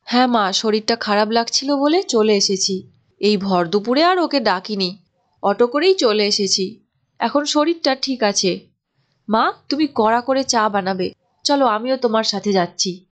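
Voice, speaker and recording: A woman's voice: a little high, animated, unhurried. A woman playing a sincere, dutiful young married daughter in a cartoon story, speaking in an earnest, reassuring way. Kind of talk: Bengali cartoon story acting.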